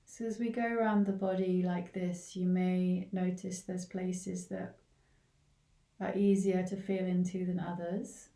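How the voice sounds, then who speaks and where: Woman meditation guide, gentle and mellow, lowish pitch, long pauses, roomy echoing recording, distant from the mic. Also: level tone, gravelly. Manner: gentle, unhurried, with long pauses between short phrases. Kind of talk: guided body scan meditation.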